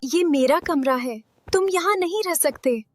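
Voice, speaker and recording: Woman as a high-voiced haughty young princess. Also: expressive, a little slow, no echo, studio quality. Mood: disdainful. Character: haughty spoiled young princess, disdainful and girlish. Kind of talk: animated fairy tale dialogue. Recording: music behind the voice.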